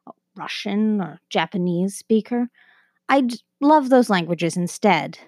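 A woman podcast host in her thirties reads her script with lively, swooping intonation. Her delivery is wry and self-deprecating, and her medium-pitched voice is recorded close and dry. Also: no room sound.

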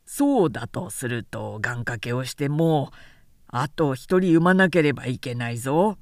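A middle-aged samurai elder brother, acted by a woman reader, talks in a lowered, swooping voice. He is pompous and long-winded, lecturing with great self-importance in a storybook tale. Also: animated, moderate pace, no echo, studio quality. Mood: chatty, self-satisfied.

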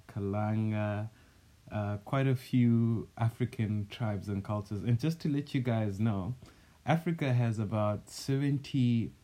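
A man's voice: on the low side, dark tone. A man from Zimbabwe co-hosting a casual podcast chat, speaking earnestly and thinking aloud with fillers. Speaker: podcast co-host.

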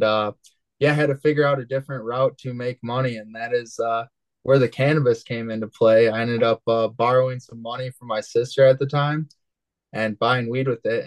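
Relaxed young man, dry close sound. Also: mid-range voice, dry recording, poor audio. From West Michigan, USA. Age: 20s. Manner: relaxed, rambling, many fillers. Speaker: guest, cannabis entrepreneur. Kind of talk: casual interview storytelling.

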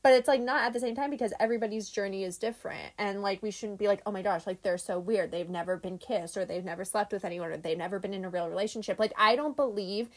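A woman in her twenties talking casually on a podcast, chatty and animated, with lots of fillers and laughter. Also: mid-range voice, quick pace, few pauses. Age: 20s. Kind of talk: casual chat.

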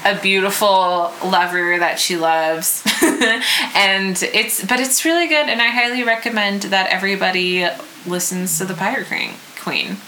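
A woman's voice: mid-range voice, fairly even intonation, a little slow, sharp tone, a little hiss or hum, poor audio. A woman co-hosting a podcast in casual chat, playful and giggly, joking with her co-host.

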